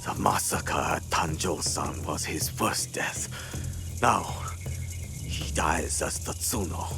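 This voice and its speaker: An actor playing a proud, weary, resigned rebel gang leader in a video game, with grave, formal delivery. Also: male voice, a bass voice, slow, vocal fry, heavily acted. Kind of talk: cinematic video game acting. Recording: background music under the voice.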